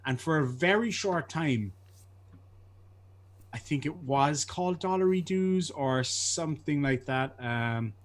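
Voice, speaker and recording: A man with a soft southern Irish accent, chatting casually as a guest and tripping over restarts. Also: fairly high pitch, slow pace. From Ireland. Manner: chatty, self-deprecating, stammers on restarts. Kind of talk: casual chat. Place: lives in Australia.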